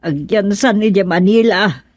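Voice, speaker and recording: A woman's voice: mid-range voice, unhurried, no room sound, studio quality, natural voice. A woman with an Ilocano accent playing a fretful older aunt in a radio drama, worried and plaintive, sighing as she talks. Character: fretful older aunt anxious over her ailing husband.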